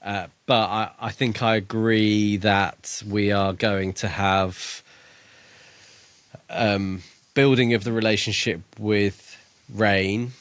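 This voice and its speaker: A man with a fairly low voice, recorded dry and close. He talks casually as a podcast co-host, feeling his way through his thoughts with some hedging. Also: fairly even intonation, slow, fairly bright tone, clear, resonant tone, no room sound. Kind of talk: casual book discussion.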